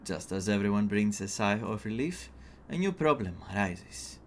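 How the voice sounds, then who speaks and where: A young man with a Greek accent streaming a game, chatting excitedly, laughing often and reading quest text aloud. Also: lowish voice, normal speed, a little hiss or hum.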